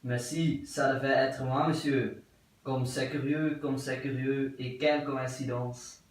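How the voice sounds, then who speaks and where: A man playing a prim, politely puzzled middle-aged English wife in an absurd stage play, with formal, measured, repetitive speech. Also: mid-range voice, flat delivery, natural voice. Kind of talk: theatre acting of an absurd play. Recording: very echoey room, voice from far off.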